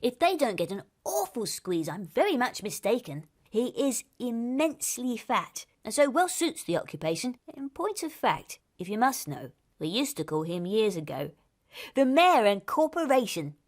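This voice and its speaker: Woman playing a high, swooping, mischievous male ghost. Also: very animated, normal speed. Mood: brisk, dismissive. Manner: theatrical, full of chuckles. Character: chatty, mischievous, mocking male ghost, fussy about haunting rules. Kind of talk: comic verse storybook reading.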